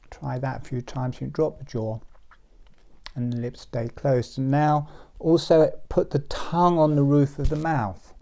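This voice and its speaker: A man leading a guided relaxation exercise, talking slowly and softly and sometimes dropping to a whisper. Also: mid-range voice. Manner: slow, soft and soothing, drops to a whisper at times. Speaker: relaxation teacher.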